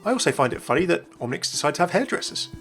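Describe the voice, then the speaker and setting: A young man narrates a gaming video as its host. He talks quickly in a slightly high voice. Light music runs behind his scripted delivery. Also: big pitch swings, vocal fry, fairly bright tone.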